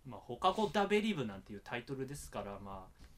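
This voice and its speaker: A man's voice: mid-range voice, very animated, fast, a bit bright. A man hosting a podcast in casual, self-deprecating chat. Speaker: podcast host.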